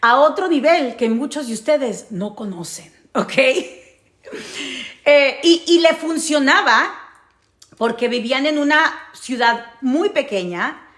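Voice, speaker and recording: A woman with a fairly high voice and a Mexican Spanish accent shares a personal story as a casual vlog. She is emphatic, repeating key phrases and checking that listeners follow. The room around her is noticeably echoey. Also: animated, slow pace, a bit bright. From United States, grew up in New Jersey. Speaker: storytelling vlogger.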